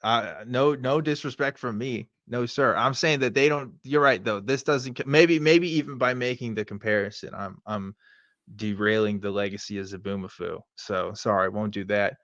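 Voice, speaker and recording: A man's voice: lowish voice, normal speed, a bit bright, no echo, muffled recording. A man co-hosting a reaction show with casual, slangy, sweary commentary.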